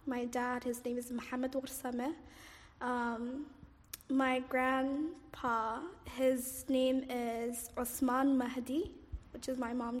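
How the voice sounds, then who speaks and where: High-voiced young woman, hesitant and reflective. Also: in a large hall. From Somalia, raised in Cairo, Egypt. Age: 20s. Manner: hesitant, frequent "um" and "like", soft laughs. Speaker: oral history interviewee. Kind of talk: casual personal recollection.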